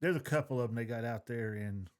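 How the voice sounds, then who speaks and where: A man's voice: medium pitch, quick pace. A man chatting casually as a podcast co-host, opinionated and informal, with the odd swear word. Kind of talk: casual fan discussion.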